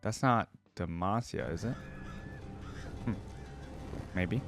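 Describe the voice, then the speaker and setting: A man's voice: low-pitched, slow pace, music in the background. A man hosting a reaction video, talking casually to viewers, musing aloud and reading game text.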